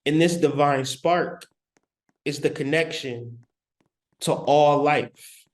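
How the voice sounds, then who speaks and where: A man giving a spiritual lecture, speaking deliberately with long pauses and repeating key phrases for emphasis. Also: fairly high pitch. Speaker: spiritual teacher.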